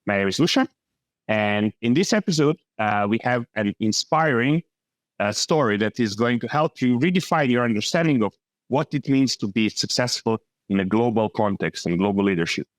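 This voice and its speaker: A man's voice: mid-range voice, lively intonation, normal speed, no room sound. A man hosting an interview podcast, speaking with frequent "uh" fillers and restarts. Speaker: podcast host.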